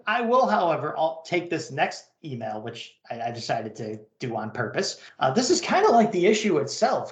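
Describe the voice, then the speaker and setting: A man hosting a podcast, chatting casually and reading out listener mail, recorded with a roomy echo. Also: fairly high pitch. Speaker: podcast host. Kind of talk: casual chat and reading listener email aloud. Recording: roomy, echoing sound.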